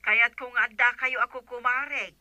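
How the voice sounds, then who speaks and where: A woman's voice: fairly high pitch, fairly even intonation, moderate pace, bright, forceful tone, no echo. A woman playing a pushy, pleading village woman on a phone call in an Ilocano comedy drama. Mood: worried, pleading.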